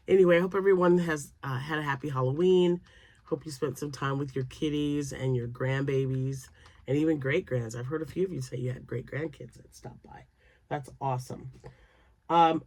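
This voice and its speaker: A woman's voice: low-pitched, no room sound. A woman in her later years, a crochet vlogger chatting casually to camera, a little nasal and froggy from sinus drainage, rambling with fillers. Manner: chatty and rambling, many fillers, laughs often.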